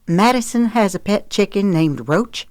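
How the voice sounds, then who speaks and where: A woman's voice: medium pitch, lively intonation, moderate pace, a little mellow, no echo, close to an everyday voice. A woman voicing an exasperated, incredulous grown daughter in an audiobook, questioning and indignant. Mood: disbelieving.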